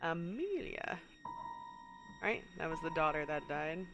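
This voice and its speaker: Stuffy-nosed streamer muttering over music. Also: female voice, low voice, sing-song, a little slow. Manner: muses aloud, reads in-game text, chuckles often. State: stuffy nose. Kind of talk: casual gameplay commentary.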